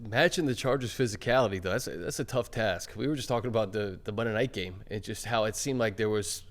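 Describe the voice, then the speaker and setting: A man's voice: lowish voice, fast talker. A man hosting a sports show, talking casually about football with his colleagues.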